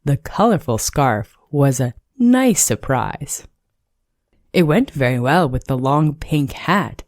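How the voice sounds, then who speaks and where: A woman narrating a children's story in a measured, expressive read-along style. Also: a bass voice, dry recording, studio quality.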